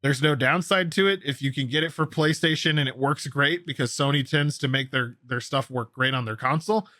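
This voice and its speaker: A man's voice: medium pitch, a little fast, sharp tone, dry recording, clean studio sound. A man hosting a gaming news show, commenting casually and enthusiastically on stories with a co-host. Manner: enthusiastic, opinionated, conversational.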